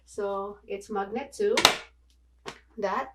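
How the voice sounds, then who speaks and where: A woman from the Philippines with a Filipino accent, chatting casually in a home video tutorial, halting and apologetic with many fillers. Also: mid-range voice, steady tone, heavy background noise. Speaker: vlogger. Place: small home room.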